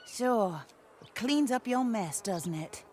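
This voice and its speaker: An actress playing a middle-aged, vengeful widow in a western game, speaking in a formal, genteel, biting way. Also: female voice, a little high, animated, slow, a little mellow, a little hiss or hum. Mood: bitter, scornful. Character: bitter, grieving, vengeful widow of the Old West. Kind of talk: cinematic western game acting.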